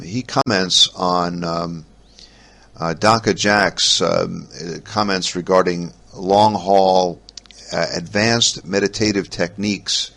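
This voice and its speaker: A man with a notably deep voice hosts a casual solo podcast. He rambles along haltingly, often pausing and restarting his sentences as he works through his notes. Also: a bit bright. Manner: halting, rambling delivery with frequent pauses and restarts. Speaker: podcast host. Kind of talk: casual solo podcast talk about ham radio.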